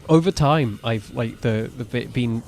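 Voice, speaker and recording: A man in his twenties with a naturally deep voice, rambling casually as he streams a game live. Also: a little slow, creaky, a little mellow, slight background noise. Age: 20s. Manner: chatty, rambling, self-correcting. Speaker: gaming streamer. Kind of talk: casual live gameplay commentary.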